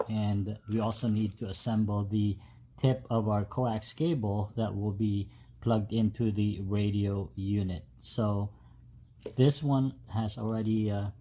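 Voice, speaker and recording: A man giving a casual, unscripted step-by-step tutorial as a DIY host, with halting delivery. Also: on the low side, dark tone. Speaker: host of a DIY truck modification video. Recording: clear background noise, ambient sound.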